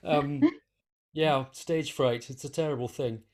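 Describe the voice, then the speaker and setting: A man's voice: a little high, fairly even intonation, a little slow. A middle-aged man, an interview guest, talking casually in a hesitant, thoughtful, understated way. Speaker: interview guest, composer and conductor. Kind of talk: casual, reflective conversation.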